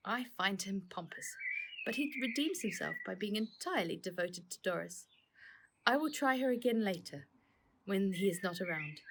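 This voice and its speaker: A man's voice: high voice, creaky, background noise. A woman voicing a troubled, kindly uncle, a factory owner on the run, in an earnest storybook reading. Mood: anxious, reflective. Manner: earnest, troubled, exclaiming in surprise.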